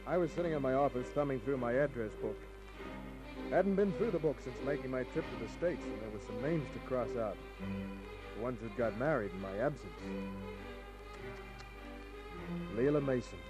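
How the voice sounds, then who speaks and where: A man's voice: medium pitch, lively intonation, brisk, loud music behind the voice, close to an everyday voice, rough recording. An actor playing a tough private detective who wryly narrates his cases in a 1950s radio drama. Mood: businesslike. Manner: wry, hard-boiled.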